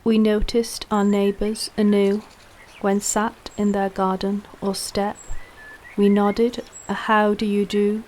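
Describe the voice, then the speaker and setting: Woman reciting a poem slowly and evenly. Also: medium pitch, little pitch movement, a little mellow, slight background noise. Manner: measured rhythmic reading.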